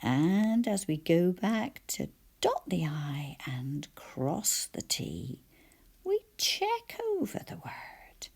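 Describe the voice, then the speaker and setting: A woman with a soft, often whispered voice teaching spelling and sounding out letters one by one. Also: on the low side, sing-song, creaky, husky. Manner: slow, soft-spoken, sounds out each letter.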